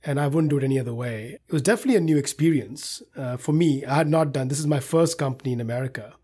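A man from India speaks as a guest in an interview. His voice is mid-pitched and somewhat husky, and he talks in a measured, reflective way. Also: darkish tone. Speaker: company co-founder, guest. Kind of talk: interview conversation.

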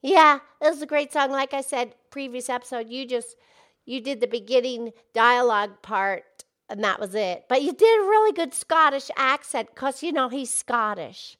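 A woman's voice: high-pitched, moderate pace, a bit bright. A woman voicing a cheerful, chatty female pig who hosts a puppet show, talking in bubbly, eager, rambling bursts.

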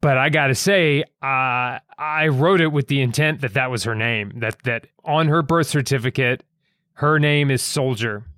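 A man hosting a casual discussion of his own writing, speaking in an earnest, somewhat halting way. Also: medium pitch, fairly bright tone, no room sound, studio quality. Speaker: host and writer.

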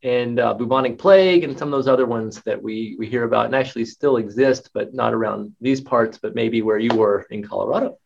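A man hosts an interview in an upbeat, enthusiastic way, asking his questions casually. His voice sits at an ordinary pitch for a man. The sound is dull and lo-fi. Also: brisk, gravelly, few pauses, darkish tone, slight background noise. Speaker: interview host.

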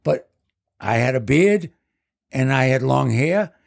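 A man's voice: medium pitch, expressive, slow, vocal fry. A man in his seventies telling rambling stories about his past as a guest in casual chat. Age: 70s. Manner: rambling, leans on "basically". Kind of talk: casual storytelling.

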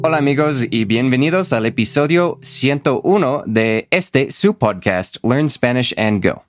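Un hombre de Estados Unidos cuyo idioma nativo es el inglés, co-presentador de un podcast, que habla español de forma relajada y tranquila. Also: male voice, medium pitch, unhurried, soft background music. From United States. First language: English. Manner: relaxed, easygoing, sprinkles in Mexican slang. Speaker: podcast co-host. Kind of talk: casual chat.

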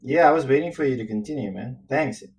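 Male podcast co-host, grew up in Sri Lanka, relaxed rambling banter over a remote line. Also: medium pitch, quick pace. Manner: laughing, easygoing, rambling. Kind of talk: casual podcast chat over a call. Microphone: remote call.

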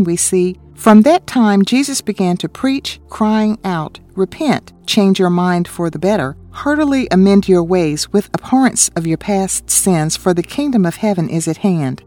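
A woman co-hosting a radio ministry, reading a scripted Bible study in a measured way and citing chapter and verse. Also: fairly low pitch, warm tone.

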